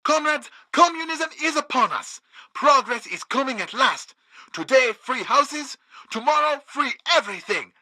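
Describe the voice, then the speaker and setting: Piercing, zealous communist orator. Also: male voice, extremely high pitch, expressive, measured pace, sharp tone, no room sound, full character voice. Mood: triumphant zeal. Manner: declamatory. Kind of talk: propaganda speech in radio parody.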